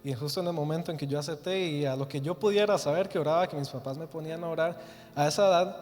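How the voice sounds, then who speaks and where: A man's voice: fairly high pitch, quick pace. A young man in his twenties with a Costa Rican accent, telling his testimony in church, hesitant with many fillers and restarts. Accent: Costa Rican Spanish. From Costa Rica. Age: 20s. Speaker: guest giving testimony. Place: church hall. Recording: reverberant church hall. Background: soft guitar music.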